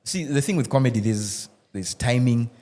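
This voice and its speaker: A man, a podcast guest who tells animated stories in casual roundtable chat, often restarting and laughing. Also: medium pitch, moderate pace. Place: podcast studio.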